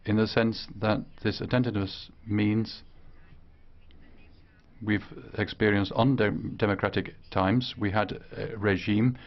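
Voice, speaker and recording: A man interpreting a speech live, speaking in short, halting phrases. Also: lowish voice, little pitch movement, muffled recording. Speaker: simultaneous interpreter.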